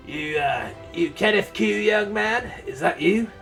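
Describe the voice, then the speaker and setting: A man's voice: high voice, lively intonation, measured pace, vocal fry, fairly bright tone, distant mic, soft background music, pitched above the performer's own voice, full character voice. A man voicing a crotchety old male pirate with a twangy voice in a storybook reading. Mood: hesitant, probing.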